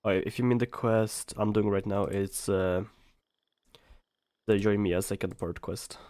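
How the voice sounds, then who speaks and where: A man's voice: lowish voice, steady tone, unhurried, a little mellow, dry recording. A man with a Swedish accent chatting casually on a gaming livestream, hesitant and rambling as he thinks aloud. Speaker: live streamer.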